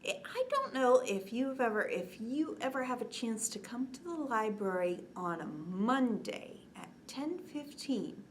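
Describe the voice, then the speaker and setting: Slow, high-voiced woman storyteller for children. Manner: expressive, drawn-out words, often dropping to hushed whispery tones. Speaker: children's librarian and story time host. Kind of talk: children's bedtime story time, reading and coaxing along. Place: indoors, small curtained set. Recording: clear background noise.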